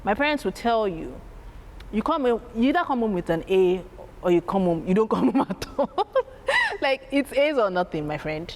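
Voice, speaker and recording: A woman with a strong, loud voice, telling stories about her family as an interview guest, animated and laughing as she acts out her parents. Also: mid-range voice, moderate pace. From Nigeria. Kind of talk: candid storytelling.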